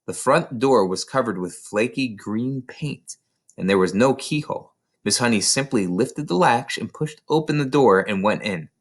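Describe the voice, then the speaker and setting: A man's voice: mid-range voice, expressive, moderate pace. A man reading a children's novel aloud as scripted narration. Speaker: storybook reader.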